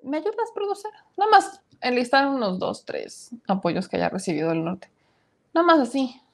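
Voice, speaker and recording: A woman's voice: high voice, very animated, normal speed, vocal fry. Woman in her 30s, live-stream news host, emphatic commentary on viewer comments, small home studio. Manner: emphatic, reads comments aloud and adds opinions.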